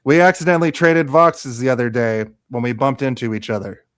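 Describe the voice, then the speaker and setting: A man's voice: mid-range voice, brisk, clean tone, natural voice. A man playing a confident actor-commander in trouble in a live radio play, speaking directly and with urgency. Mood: urgent.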